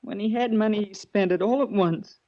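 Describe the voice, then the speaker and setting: A woman's voice: mid-range voice, fairly quick, no echo, natural voice. An actress playing a grieving, guarded middle-aged mother in a weary, mournful 1940s radio drama manner. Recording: dull, dry vintage 1940s radio recording.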